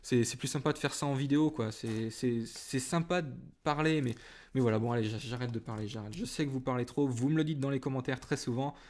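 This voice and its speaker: Chatty, hesitant man at mid pitch. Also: brisk, darkish tone. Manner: hesitant, many fillers and restarts, chatty; self-deprecating, apologetic asides. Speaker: YouTuber opening trading card packs. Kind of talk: casual chat to camera.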